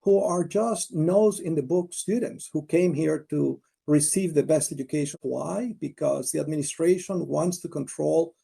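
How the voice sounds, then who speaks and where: A man giving a firm spoken statement with a very gravelly, husky voice. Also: on the high side, normal speed, hardly any pauses, dark tone.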